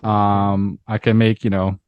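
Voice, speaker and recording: A man from Myanmar in his thirties with a Scottish accent, talking through his life story as an interview guest, relaxed and reflective with many repeated words. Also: fairly low pitch, slow, smooth, no room sound, muffled recording. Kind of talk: casual interview chat.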